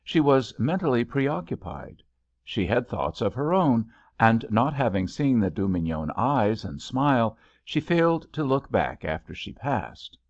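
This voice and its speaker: A man reading literary fiction as an audiobook narrator, in an expressive, measured storytelling manner. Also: medium pitch, no echo. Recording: slightly dull, lo-fi, dry audio.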